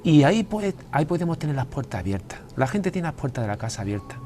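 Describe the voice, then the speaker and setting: Halting Andalusian man, faint room hum. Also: fairly high pitch, big pitch swings, dark tone. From Andalusia, Spain. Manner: halting, frequent restarts and repeated words. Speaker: guest writer. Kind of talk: casual interview conversation. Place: television studio.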